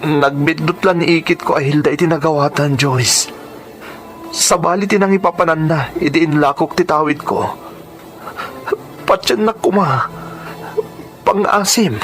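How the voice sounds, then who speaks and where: Earnest, apologetic Ilocano suitor. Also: male voice, a little high, a little slow, long pauses, fairly bright tone. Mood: apologetic, pleading. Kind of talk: Ilocano radio drama acting. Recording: music under the voice.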